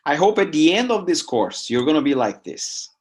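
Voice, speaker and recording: A man in his forties from Brazil, whose first language is Portuguese, teaching an online course in a lively way, often tagging lines with "right?". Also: on the high side, moderate pace. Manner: lively, with emphatic rising asides and "right?" tags. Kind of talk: recorded lecture over slides. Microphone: webcam video call framing.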